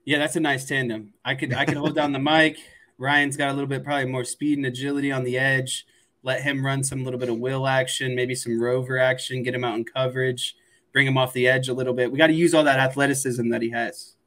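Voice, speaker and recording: A man's voice: mid-range voice, flat delivery, fast, a bit bright. A man co-hosting a sports talk show, giving his opinions in a rambling, conversational way. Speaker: sports podcast co-host. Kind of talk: casual sports commentary chat.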